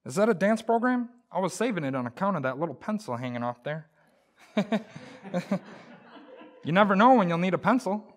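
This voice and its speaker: A woman's voice: medium pitch, sing-song, moderate pace, long pauses. A woman playing a boastful, blustery pack-rat husband in a folksy, exclamatory old-time radio comedy style. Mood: sheepish, folksy.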